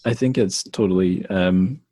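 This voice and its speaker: A man with a very low voice hosts a podcast conversation, thinking aloud and laughing at himself now and then. His voice is close and dry, with almost no room sound. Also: measured pace, warm tone, husky, no echo. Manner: thoughtful, self-deprecating, laughs often. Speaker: podcast host. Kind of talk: casual interview conversation.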